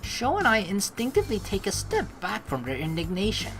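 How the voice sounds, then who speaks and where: A woman's voice: mid-range voice, wildly swooping pitch, a little fast. A young woman hosting a let's play, teasing and slangy as she mixes casual commentary with reading the game's narration aloud. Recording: faint game music behind the voice.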